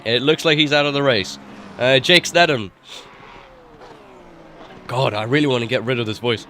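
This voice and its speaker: A man commentating a sim racing broadcast live, sounding nasal from a cold, rising in excitement at overtakes. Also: mid-range voice, a little hiss or hum. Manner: excited rises at overtakes, quick asides. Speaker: race commentator.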